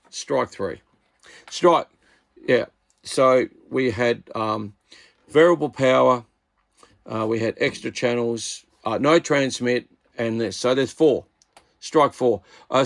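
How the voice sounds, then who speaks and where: A man's voice: medium pitch, vocal fry. A middle-aged man with an Australian accent, talking casually and bluntly at his workbench about radios he bought. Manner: blunt, exasperated, plain-spoken. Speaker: radio hobbyist channel host.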